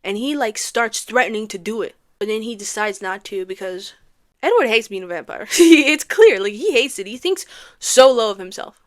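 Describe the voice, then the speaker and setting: A young woman reviewing a movie in a casual comedic vlog, animated and sarcastic, ranting with rhetorical questions. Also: on the high side, normal speed.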